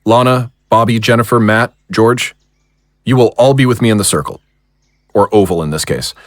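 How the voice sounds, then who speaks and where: A man's voice: on the low side, moderate pace, dry recording, close to an everyday voice. A man playing a commanding monster hunter who leads a ritual battle in an audio drama. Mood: focused.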